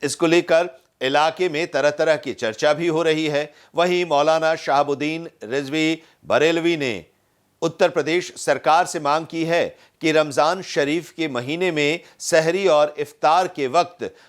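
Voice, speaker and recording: A male news reporter reading a scripted voice-over in a steady, formal way. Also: a little high, a little slow.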